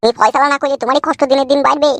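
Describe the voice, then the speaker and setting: A woman's voice: high-pitched, fairly even intonation, rapid-fire, fairly bright tone, no echo, voice pushed down. A woman voicing a dim-witted young male sidekick in a comedy cartoon who keeps eagerly agreeing.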